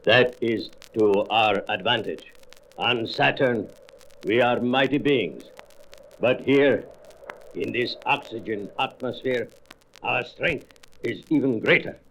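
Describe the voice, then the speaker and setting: A man's voice: mid-range voice, gravelly, a bit bright. A man playing an arrogant, commanding alien invader made of stone in a comic-book audio drama, with pompous, boastful delivery. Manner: slow, pompous, boastful declamation. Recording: faintly noisy old record.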